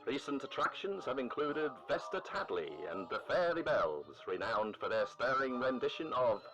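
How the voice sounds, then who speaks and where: A man's voice: medium pitch, very animated, moderate pace, muffled recording, lightly acted. A man playing a formal old-time newsreel announcer in a comedy parody, florid and mock-solemn. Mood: solemn, grandiose. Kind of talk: vintage newsreel narration parody. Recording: music playing behind the voice.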